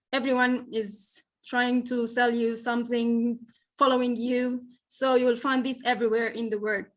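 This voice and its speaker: Higher-voiced tour guide, reassuring. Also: female voice. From Egypt. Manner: reassuring, simple direct English. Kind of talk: answering questions in a Q&A.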